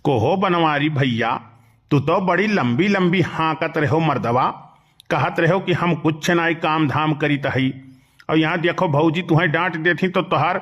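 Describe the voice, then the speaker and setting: A man playing a gullible, excitable village uncle with an Awadhi accent in a comic cartoon. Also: a little high, a little slow. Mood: teasing.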